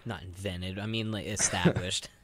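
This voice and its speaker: A young man co-hosting a radio show in casual, animated chat, speaking into a close microphone. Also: sits low, normal speed. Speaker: radio co-host.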